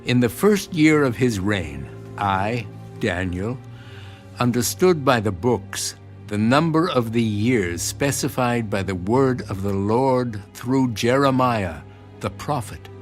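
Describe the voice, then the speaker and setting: A man reads scripture as a solemn, earnest audiobook narrator. He takes his time at a slow pace, in a mid-pitched voice, with music clearly behind him. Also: lively intonation.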